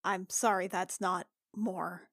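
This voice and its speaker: A woman's voice: lowish voice, fairly even intonation, slow pace, a bit bright, no echo, clean studio sound. A woman chatting casually as a player in a tabletop game.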